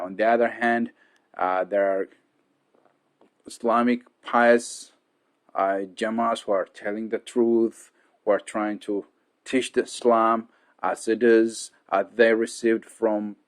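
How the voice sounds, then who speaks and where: A young man from Ethiopia, a student talking earnestly to his peers in a casual monologue, often checking in with "okay" and "right?". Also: on the low side. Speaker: student giving advice to peers.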